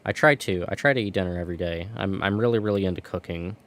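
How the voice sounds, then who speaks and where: A man's voice: low-pitched, quick pace, fairly bright tone. A man streaming from home, chatting casually while gaming, rambling and restarting his words.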